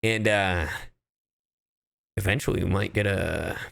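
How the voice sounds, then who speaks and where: A man livestreaming a game, chatting casually and goofily with his audience in an animated way. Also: low voice, measured pace, gravelly. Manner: animated, goofy, addresses chat directly. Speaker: livestreamer, VTuber. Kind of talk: casual livestream chat while gaming.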